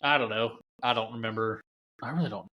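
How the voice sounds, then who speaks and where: A man in his late thirties co-hosting a casual podcast, even-keeled with dry, wry humor. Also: lowish voice, brisk, muffled recording. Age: 30s. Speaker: podcast co-host. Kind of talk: casual chat.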